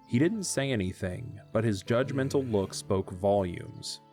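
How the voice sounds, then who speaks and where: A man narrating an audio drama in a measured storytelling delivery. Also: on the low side, expressive, dark tone, soft background music.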